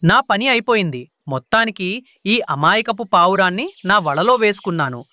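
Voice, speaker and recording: A man's voice: high-pitched, animated, a little fast, pushed and bright, dry recording. A man playing a sly, greedy crow landlord who wheedles and bosses in a children's cartoon. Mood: gloating. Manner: scheming, wheedling, bossy.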